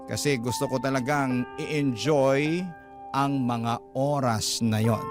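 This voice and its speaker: Filipino guy narrating an emotional radio story, mid pitch, music clearly under him. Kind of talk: dramatic scripted narration of a listener's letter. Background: background music.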